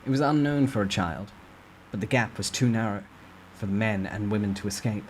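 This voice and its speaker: A man's voice: on the low side, a little fast, a little hiss or hum, low-quality recording. A man reading a novel aloud as a dramatic first-person narrator.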